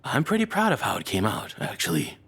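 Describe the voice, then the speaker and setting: A man playing a nervous, lovestruck kidnapper in an audio roleplay, apologetic and obsessive, speaking in halting, rambling stammers. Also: lowish voice, huge pitch swings, a bit bright, slightly rough, exaggerated voice. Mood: awkward explaining. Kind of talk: intimate dramatic audio roleplay monologue.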